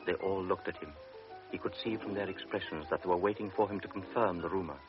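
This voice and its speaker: A man narrating an old radio drama in a grave, measured way. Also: low voice, fairly even intonation, music in the background. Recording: dull lo-fi radio broadcast.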